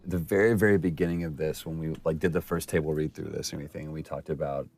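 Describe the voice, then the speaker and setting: A man's voice: extremely low pitch, steady tone, quick pace, a little mellow, soft background music. A man giving reflective, rambling behind-the-scenes commentary as an actor looking back on his work.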